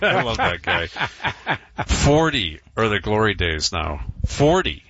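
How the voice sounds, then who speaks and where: A man's voice: fairly low pitch, sing-song, a bit bright, lo-fi sound. A man in his forties co-hosting sports radio, teasing his co-hosts. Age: 40s. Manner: teasing, quick to laugh. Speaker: radio sports show co-host. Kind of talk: casual sports radio banter.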